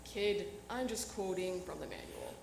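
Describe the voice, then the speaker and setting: A woman's voice: mid-range voice, normal speed, darkish tone, close to an everyday voice. A woman playing a world-weary, blunt police detective in a live radio play, sardonic and brusque. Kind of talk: live radio theatre acting. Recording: echoey live stage recording, faint noise.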